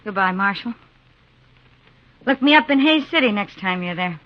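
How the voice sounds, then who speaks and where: A woman's voice: medium pitch, animated, normal speed, no echo, close to an everyday voice. An actress playing a bitter, self-reliant young American frontier woman in a 1950s radio western, curt and guarded. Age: young adult. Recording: dull, dry 1950s radio recording.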